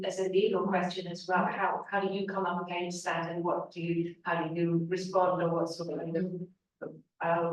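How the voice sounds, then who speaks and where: Hesitant British woman asking from the audience. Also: on the low side. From Britain. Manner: hesitant, searching for words. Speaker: audience member asking a question. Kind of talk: audience question at a panel. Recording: echoey hall, distant voice.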